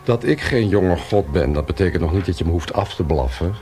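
A laconic male police detective in a radio drama, rather low in pitch, with faint music behind him. His delivery is gruff, short and grumbling. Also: expressive, brisk, warm tone. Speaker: actor in a radio play. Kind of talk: naturalistic Dutch radio drama acting.

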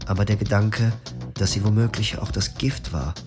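Radio play: actor as a brooding young husband narrating, low voice, clear music bed underneath. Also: male voice, normal speed, lightly acted. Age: 20s. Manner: calm, reflective, poetic narration.

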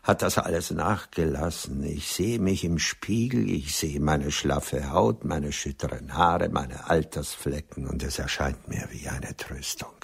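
A frail old physician and writer in a radio play, with an extremely deep voice full of creak and very husky breath. He speaks haltingly, weary, melancholy and resigned. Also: exaggerated voice. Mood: resigned, reflective. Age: older. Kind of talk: German radio drama acting.

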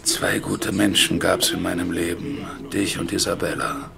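A man's voice: low voice, fairly even intonation, unhurried, creaky, heavily acted. An actor playing a lonely, bitter drunk man in a radio play, rambling and crude in a slurred voice. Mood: maudlin, bitter. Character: maudlin, bitter drunken male barfly, lonely and ranting. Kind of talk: German radio play acting.

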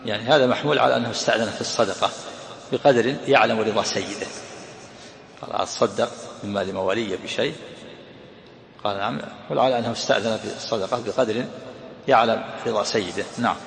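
Man, gravelly, quick, teaching hadith, echoey hall with faint noise. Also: fairly low pitch. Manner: explanatory, repeats phrases. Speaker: sheikh explaining hadith. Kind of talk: hadith commentary lesson.

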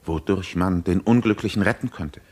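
Deep-voiced, grave healer. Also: male voice, normal speed, a little mellow, husky. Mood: thoughtful, wary. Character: grave, principled male healer, wary and solemn. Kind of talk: German radio play acting.

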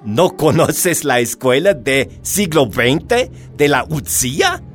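An actor playing a condescending, persuasive American official interrogating a prisoner in a radio drama. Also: male voice, on the high side, very animated, slow, fairly bright tone, exaggerated voice. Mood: indignant.

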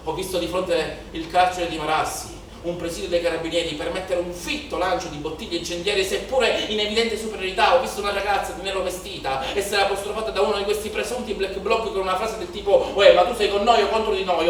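Guy acting a young protester onstage, highish voice, haunted and declamatory, super echoey and far from the mic. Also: fairly even intonation, fast talker, few pauses. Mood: tense, suspicious, then agitated, indignant. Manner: declamatory, incantatory repetition, swelling from hushed to shouted. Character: young male protester, impassioned, haunted, recounting street riots. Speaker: stage actor performing a monologue. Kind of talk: theatrical dramatic monologue in Italian political theatre. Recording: very echoey theatre, distant mic, noisy.

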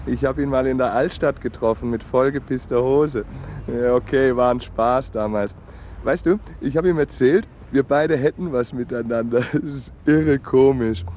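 A man's voice: mid-range voice, normal speed, darkish tone, muffled recording, a little hiss or hum, close to an everyday voice. An actor playing a cocky, malicious young man, a sneering prankster in a radio drama. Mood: gloating, mocking.

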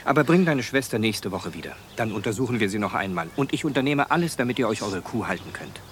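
An actor playing a kindly village doctor in a radio drama, speaking gently and soothingly. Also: male voice, lowish voice, big pitch swings, fast, a little hiss or hum. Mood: soothing, regretful. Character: kindly adult male village doctor calming a child. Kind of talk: hushed German radio drama acting.

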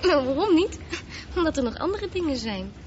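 High-voiced, hesitant teenage girl, noisy recording. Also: big pitch swings, a little fast. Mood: hesitant, tender. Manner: hesitant, searching for words. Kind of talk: radio drama acting. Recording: dull, noisy radio play recording.

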